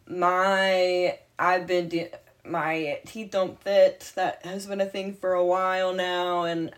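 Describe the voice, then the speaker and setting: A woman in her late twenties with a slight lisp, chatting casually as a podcast co-host, animated and jokey. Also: lowish voice, slow. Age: late 20s. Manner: animated, jokey, swings loud and quiet. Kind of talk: casual chat.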